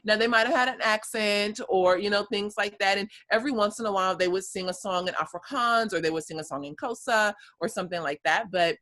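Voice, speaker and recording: A woman's voice: mid-range voice, fairly quick, few pauses, a bit bright. An American woman in her thirties, a guest telling her story in casual conversation, with many fillers and easy laughs. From United States.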